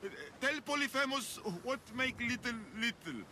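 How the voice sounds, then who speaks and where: An actor playing a lonely, childlike cyclops giant in a video game, timid but friendly, talking in broken, simple words. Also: male voice, extremely high pitch, normal speed, bright, forceful tone, long reverb tail, a little hiss or hum, heavily acted. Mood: eager, friendly. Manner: broken, simple-worded speech. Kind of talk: video game character acting.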